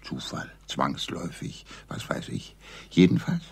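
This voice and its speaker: Deep, gravelly droll clergyman. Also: expressive, unhurried, heavily acted. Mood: pondering. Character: droll, measured clergyman turned amateur sleuth. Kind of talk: German radio crime drama acting.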